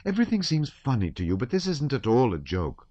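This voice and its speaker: A man's voice: fairly low pitch, sing-song, moderate pace, smooth, muffled recording, voice pushed up. A man voicing an impulsive, spirited young woman who speaks up with feeling. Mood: injured protest.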